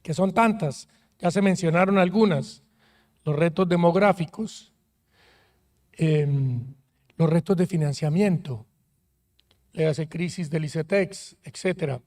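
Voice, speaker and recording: A man from Colombia, a university rector speaking on a conference panel in a friendly, measured way with frequent hesitations. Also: fairly high pitch, a little mellow. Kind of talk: panel talk. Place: conference auditorium.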